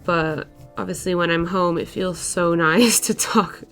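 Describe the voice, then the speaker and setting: A woman's voice: fairly low pitch, unhurried, a bit bright, soft background music. A young woman in her twenties whose first language is Portuguese, chatting casually over a sketching video with lots of "like" and "uh" fillers. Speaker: art vlogger.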